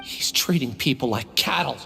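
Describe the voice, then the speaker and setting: An actor playing an earnest, indignant young student in a video game, speaking emphatically and with passion. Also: male voice, mid-range voice, lively intonation, normal speed, gravelly, bright, forceful tone. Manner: impassioned, emphatic. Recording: reverberant game scene, faint noise.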